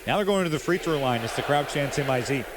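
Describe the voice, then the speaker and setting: A man's voice: mid-range voice, animated, fast, crowd noise. An American man giving live color commentary and analysis from a noisy arena broadcast. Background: arena ambience.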